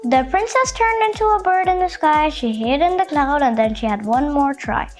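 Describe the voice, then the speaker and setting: A woman reading a storybook aloud to children, with expressive, carefully phrased narration. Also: high-pitched, normal speed, faint music behind.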